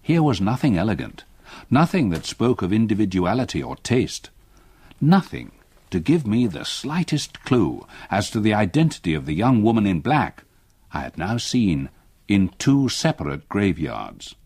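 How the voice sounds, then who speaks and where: An elderly retired English solicitor telling a ghost story for radio. His voice is low and husky, with lively movement in pitch. The delivery is grave, reflective and literary, each sentence carefully measured. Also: dry recording, exaggerated voice. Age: older. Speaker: narrator. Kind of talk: literary first-person radio drama narration.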